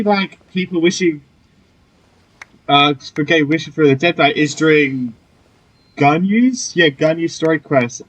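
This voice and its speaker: Casual male gamer with a very lively pitch. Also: poor audio. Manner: casual, offhand, muttering asides. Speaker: gamer, let's player. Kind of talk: casual gameplay commentary, reading dialogue choices.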